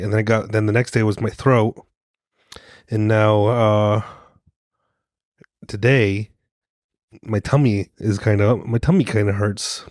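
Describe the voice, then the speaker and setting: Man with a cold, kinda low voice, jokey and rambly, dry sound no room. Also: darkish tone, no echo. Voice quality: soothing voice. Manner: joking, self-mocking, meandering with restarts. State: congested from a cold. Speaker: podcast co-host. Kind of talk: casual rambling monologue.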